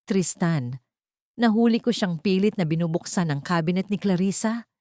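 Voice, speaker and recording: A woman's voice: fairly low pitch, moderate pace, mellow, dry recording, clean studio sound, natural voice. A woman voicing a cruel, scheming older woman who turns falsely tearful and pleading in a dramatic audio storybook. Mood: false tearful accusation.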